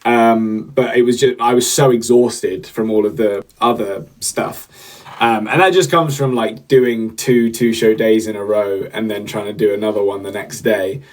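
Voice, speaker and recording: A man's voice: fairly low pitch, steady tone, normal speed. A young man, a podcast host and singer, rambling casually on a livestream with lots of fillers and restarts while he reads the chat.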